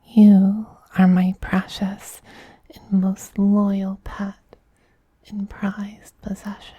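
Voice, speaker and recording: A man voicing a dominant but tender femboy vampire queen in a soft, slow, whispery ASMR roleplay, doting on a pet with condescension. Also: sits high, completely flat delivery, slightly rough, no echo, dull audio, exaggerated voice. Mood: possessive, tender.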